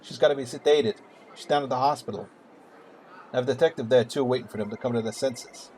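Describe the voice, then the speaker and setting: A man's voice: medium pitch, quick pace, darkish tone, lightly acted, poor audio. A man playing a grim, weary police detective in a radio play, speaking in dramatic dialogue. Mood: grim, urgent. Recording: clear hum and background noise.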